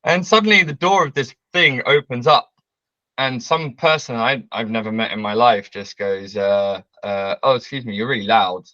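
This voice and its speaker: A man, telling a story as a guest on a video call, loud and brash, laughing often. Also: medium pitch, normal speed, no room sound, lo-fi sound. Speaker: interview guest. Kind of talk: casual storytelling. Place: bright home room with large windows.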